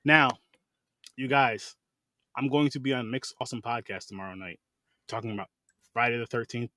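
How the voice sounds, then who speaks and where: A man hosting a podcast in casual chat, talking quickly and swearing freely. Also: mid-range voice. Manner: rapid, animated, swears freely. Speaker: podcast host.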